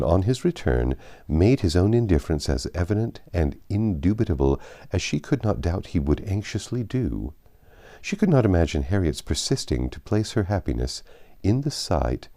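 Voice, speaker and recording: A man reading a classic novel aloud as an audiobook narrator, with measured, expressive phrasing and drawn-out emphasis. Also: low-pitched.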